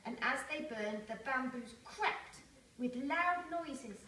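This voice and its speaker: High-voiced woman storyteller in echoey room. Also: slow pace. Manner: expressive, addresses listeners with questions. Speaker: storyteller reading to young children. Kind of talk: read-aloud storytelling with asides to children. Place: primary school room, reading aloud to children. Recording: echoey room, distant mic, faint noise.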